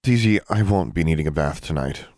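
A plain-spoken, stubborn girl, as a man reads her in a fantasy storybook. The voice stays very low and direct, recorded dry. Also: lively intonation, brisk, a little mellow, no echo. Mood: matter-of-fact.

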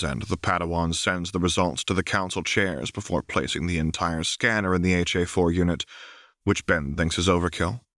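Low-voiced man narrating fiction. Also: a bit bright, no room sound, studio quality. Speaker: audiobook reader.

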